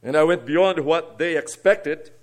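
A man from Brazil with a Brazilian Portuguese accent, a guest preacher and seminary teacher giving an earnest sermon that moves between soft asides and emphatic rises. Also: a little high, unhurried.

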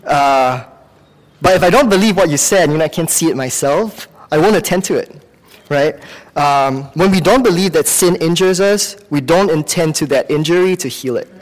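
A man from Singapore with a Singaporean accent preaches in a conversational, halting way, weaving in personal anecdotes and correcting himself as he goes. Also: a little high. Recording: long hall reverb.

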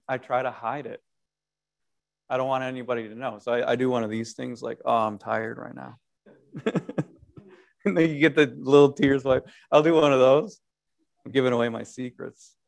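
A man preaching an Easter sermon as pastor, animated, moving between loud proclamation and quiet, self-deprecating conversational asides. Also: medium pitch, creaky. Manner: animated preaching with wide swings in loudness.

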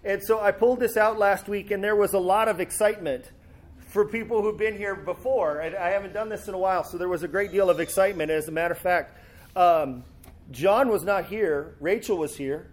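High-pitched male pastor with a slight cold, easygoing preaching. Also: fairly quick. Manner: relaxed, conversational, with fillers and restarts. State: sore throat and a cold. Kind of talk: sermon with scripture reading. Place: church sanctuary.

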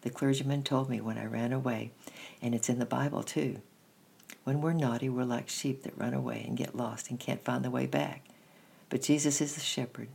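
A reader voicing an earnest young girl who shares her secret, read in a gentle storybook style with a creaky, husky voice. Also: medium pitch, steady tone, moderate pace.

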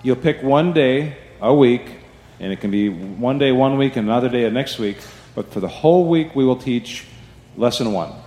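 A man's voice: medium pitch, animated, moderate pace, a little mellow. Chatty man announcing in an echoey church. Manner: chatty, keeps asking "right?". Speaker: church staff giving announcements. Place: church sanctuary. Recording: echoey hall, faint ambience.

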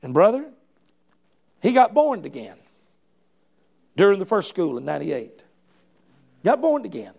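A man's voice: high-pitched, wildly swooping pitch, unhurried, gravelly, pauses often, a little mellow. A middle-aged man preaching a sermon in a fervent, folksy way, often restarting phrases and calling out "come on.".

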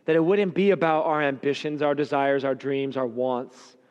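A man preaching a sermon, his voice raspy and hoarse because he is losing it, speaking in an earnest, emphatic cadence. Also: mid-range voice, steady tone, normal speed, highs cut off. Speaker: pastor. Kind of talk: sermon with scripture reading and prayer. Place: church sanctuary. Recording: long hall reverb.

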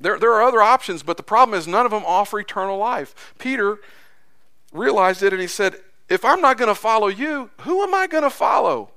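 A man's voice: animated, a little fast, pushed and bright. Impassioned man preaching a sermon. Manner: impassioned, voice rising high when acting out quotes. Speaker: pastor. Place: church.

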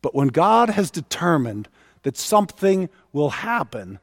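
A man in his fifties delivers a sermon in a slightly high voice. He uses weighty pauses and repeated phrases for emphasis. The mic is close, with a long hall reverb around the voice. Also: big pitch swings. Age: 50s. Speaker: preacher. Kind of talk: sermon to a congregation.

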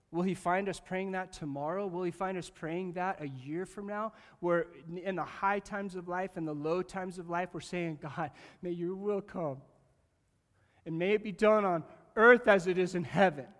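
A man preaching a sermon to his congregation, animated and jokey, swinging from loud bursts to quiet asides and talking straight to the room. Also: sits high, moderate pace. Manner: jokey, talks directly to the congregation. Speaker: pastor. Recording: long reverb of a large hall.

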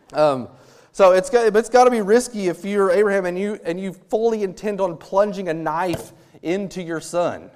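A young man with a high-pitched voice preaches a sermon with lively energy. He acts out small scenes and throws in jokes as he speaks to the congregation. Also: moderate pace. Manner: animated, acts out quotes with humor. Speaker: missionary preacher.

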